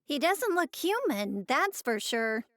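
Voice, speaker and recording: A woman's voice: high voice, very animated, slow, a bit bright, no room sound, clean studio sound, heavily acted. A woman voicing a spunky, outspoken young woman in an anime video game, girlish and candid. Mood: uneasy. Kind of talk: animated game voice acting.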